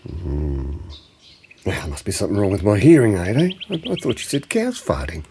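A man's voice: fairly low pitch, huge pitch swings, normal speed, gravelly, noisy background, full character voice. A man playing a blunt, teasing old Australian grandfather in a naturalistic audio drama. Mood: gruff disbelief. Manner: blunt colloquial speech, teasing, ends phrases with "eh".

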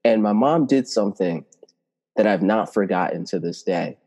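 Mellow low-voiced man, reverberant sound. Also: animated. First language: Italian. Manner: reflective, flowing, slows to stress key words. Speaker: spiritual teacher and healer. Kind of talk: earnest personal storytelling.

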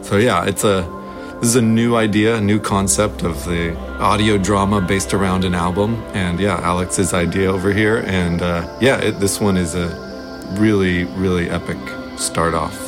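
A man's voice: sits low, fairly even intonation, moderate pace, over music. A man co-hosting a podcast in a casual, conversational way. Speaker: podcast co-host. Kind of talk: casual chat.

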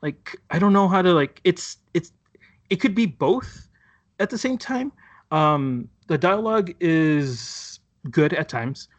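A man chatting casually about a TV show, rambling and halting with many restarts and fillers. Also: sits high, expressive, lo-fi sound. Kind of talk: casual chat reviewing a TV show.

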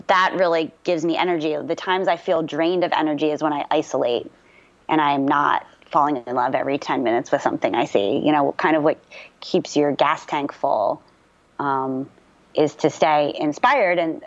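Low-voiced, chatty woman voice actor. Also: lo-fi sound. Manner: lively and talkative, with many fillers. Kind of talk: casual interview chat.

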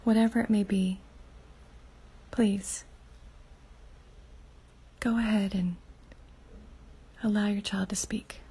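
Woman, meditation guide, soft half whisper, very raspy, flat delivery. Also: medium pitch, takes it slowly, pauses often. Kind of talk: guided inner-child meditation.

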